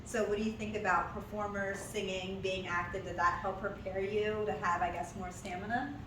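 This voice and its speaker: A woman's voice: medium pitch, fairly even intonation, moderate pace. A woman interviewing a friend in a casual chat, asking questions with a playful, teasing manner. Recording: echoey room, voice from a distance, some noise.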